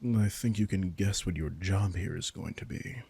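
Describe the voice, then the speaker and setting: A man's voice: low voice, moderate pace, vocal fry, darkish tone, husky, no room sound, exaggerated voice. A man playing a commanding, teasing vampire king in a roleplay audio drama, smug and casually menacing as he speaks straight to the listener.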